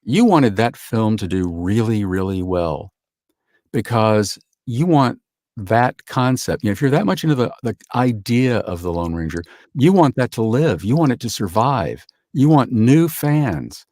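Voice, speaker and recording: A man's voice: on the low side, very animated, unhurried, darkish tone, dry recording, clean studio sound. A man speaking as a guest in a casual podcast chat, earnest and emphatic, repeating phrases to drive his point home. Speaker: guest actor.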